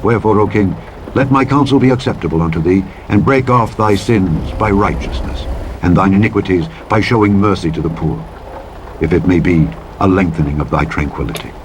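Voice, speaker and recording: A man reading the Bible aloud as a narrator, in a solemn, measured, declamatory style. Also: sits low. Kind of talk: solemn scripture reading, King James English. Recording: truck engine and reversing beeps over reading. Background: truck simulator game vehicle noise.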